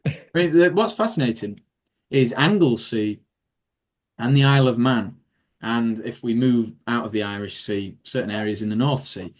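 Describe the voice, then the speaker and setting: A man's voice: mid-range voice, normal speed. A man, a history speaker, answering audience questions in a rambling way with many ums and restarts over a video call. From England. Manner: rambling, self-correcting, with frequent ums and restarts. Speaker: guest speaker on Viking history. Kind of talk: Q&A after a talk.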